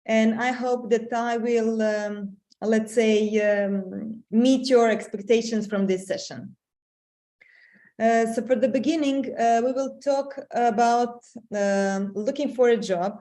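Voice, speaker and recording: Slow, hesitant middle-aged woman mentor. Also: on the high side, steady tone, mellow. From Serbia. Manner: slow, hesitant with many fillers. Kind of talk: webinar presentation. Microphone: video call.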